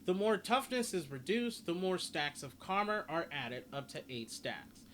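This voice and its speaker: Higher-pitched man, casual gaming guide, noisy recording. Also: expressive, unhurried, a bit bright. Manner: rambling, repeats and rephrases himself. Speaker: gaming video host. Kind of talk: casual game character guide commentary. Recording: clearly noisy home recording.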